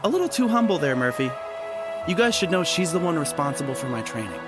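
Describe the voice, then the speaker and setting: An eager, friendly young male trainee in an audio drama. His voice is high for a man and full of excitement. Loud music runs behind it. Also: fast. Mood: friendly, teasing.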